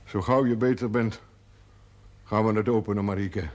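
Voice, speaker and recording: An actor playing a gruff, stubborn, earnest rural man in a naturalistic television period drama. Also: on the low side, fairly even intonation, moderate pace, darkish tone, close to an everyday voice. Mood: firm. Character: gruff, stubborn rural man, former poacher turned faith healer. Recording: 1970s TV audio with faint noise.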